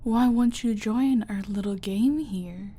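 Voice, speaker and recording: Woman playing slow, menacing female mafia boss. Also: mid-range voice, dark tone. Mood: playful threat. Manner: mock-sweet, taunting, drawn-out, slipping into breathy whispers. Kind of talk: roleplay audio drama, slow intimate villain monologue.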